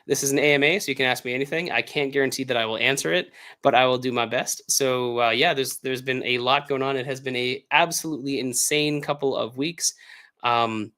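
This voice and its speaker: A man with an American accent, hoarse from illness, chatting casually and upbeat as the host of a livestream Q&A, with lots of fillers. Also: medium pitch, fairly even intonation, brisk, sharp tone. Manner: rambling, filler-heavy, upbeat. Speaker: author hosting a livestream Q&A.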